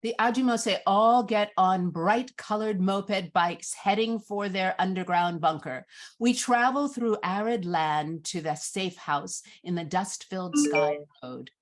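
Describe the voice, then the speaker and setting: A woman narrates a screenplay at a live script read. Her pitch sits mid-range and her delivery is slow, measured and descriptive as she sets out each scene. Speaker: narrator reading stage directions.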